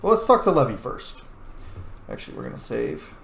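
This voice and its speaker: A man's voice: medium pitch, wildly swooping pitch, fairly quick, vocal fry, fairly bright tone. A man casually role-playing his game character and commenting as he plays, with laid-back, understated reactions. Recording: echoey room, faint noise.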